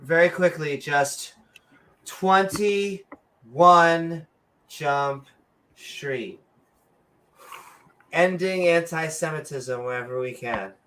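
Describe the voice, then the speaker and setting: A woman co-hosting a comedic chat podcast with an unhurried, drawn-out delivery. She is sarcastic and animated, veering into quick asides and mock outrage. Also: low-pitched. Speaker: podcast co-host.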